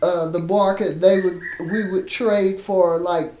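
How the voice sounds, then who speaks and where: A woman's voice: lowish voice, steady tone, slow pace, dark tone, slight background noise. An older woman with a Kansas City accent, telling folksy stories of her life as an interview guest. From Kansas City, USA. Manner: folksy, rhythmic, laughs while telling. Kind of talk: storytelling in conversation. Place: home in a rural village. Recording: roomy echo.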